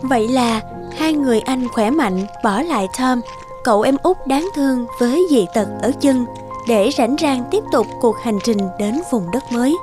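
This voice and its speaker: A woman narrates a fairy tale in a scripted storybook style. Her voice is fairly high, and music plays clearly behind her. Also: animated, moderate pace.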